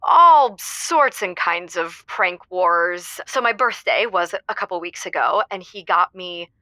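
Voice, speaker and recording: A woman's voice: fairly low pitch, lively intonation, measured pace, bright, forceful tone, no echo. A woman playing a playful, mischievous wife telling stories as a cheerful caller on a comedy radio show. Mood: gleeful, mischievous. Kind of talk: improvised comedy storytelling.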